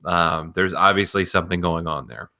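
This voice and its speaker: A man's voice: low-pitched, normal speed, bright, forceful tone. A man co-hosting a podcast, explaining things in a casual, knowledgeable way. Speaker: podcast co-host.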